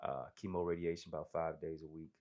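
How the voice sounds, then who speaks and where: A man being interviewed as a guest preacher, telling stories in a lively way and laughing often. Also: a bass voice, quick pace. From United States. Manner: animated, laughs often, says "you know what I'm saying". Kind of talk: casual interview storytelling.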